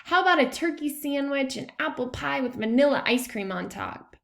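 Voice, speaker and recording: A woman voicing an eager, confident schoolboy detective in a children's storybook, animated and emphatic. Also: high-pitched, moderate pace, vocal fry, voice pushed up, full character voice. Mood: playful. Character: eager, confident schoolboy amateur detective who loves food.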